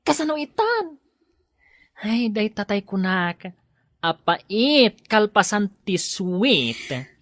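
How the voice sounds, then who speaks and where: Woman playing a lively, swooping, nosy friend. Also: mid-range voice, slow, no echo. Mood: alarmed, advising. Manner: exclamatory, teasing. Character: frank, nosy, teasing female workmate and friend. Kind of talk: Ilocano audio drama acting.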